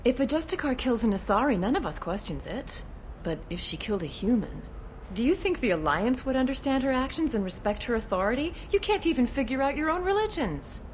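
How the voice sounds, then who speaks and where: A woman's voice: on the high side, big pitch swings, brisk, a little hiss or hum. A woman playing a wary alien police officer in a video game, explaining matters frankly with slight exasperation. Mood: exasperated, firm.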